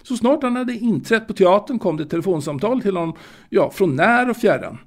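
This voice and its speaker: An elderly man, played by a male actor, looks back wistfully on his boyhood in an audio drama monologue. His voice sits a little high and crackles with gravelly fry. He muses haltingly, hesitating and repeating words as he remembers. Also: expressive. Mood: wistful, musing. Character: old man wistfully reminiscing about his 1920s Stockholm boyhood.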